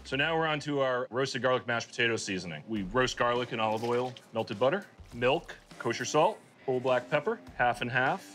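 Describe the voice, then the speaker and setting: A man's voice: mid-range voice, lively intonation, fairly quick, few pauses, fairly bright tone, soft background music. A man in his forties, a chef and restaurant owner, plainly explaining a recipe step by step in his kitchen. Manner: plain, matter-of-fact listing.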